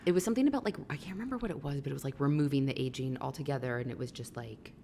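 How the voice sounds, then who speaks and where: A woman's voice: extremely low pitch, very fast, dark tone, a little hiss or hum. A woman in her thirties hosting a casual, chatty interview, talking informally with lots of "like". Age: 30s. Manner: chatty, informal, filler-heavy with frequent "like". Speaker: podcast host interviewing a guest.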